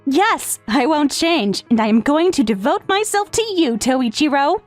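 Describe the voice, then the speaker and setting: A woman's voice: high-pitched, big pitch swings, measured pace. A woman playing a devoted, clingy young step-sister who teases coyly in an English-dubbed manga romantic comedy. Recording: music behind.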